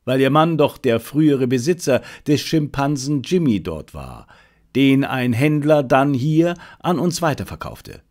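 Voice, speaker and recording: A man's voice: medium pitch, sing-song, measured pace, darkish tone. A male audiobook reader voicing a talkative, animal-loving zookeeper who explains things chattily. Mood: friendly, explaining. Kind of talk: audiobook character dialogue.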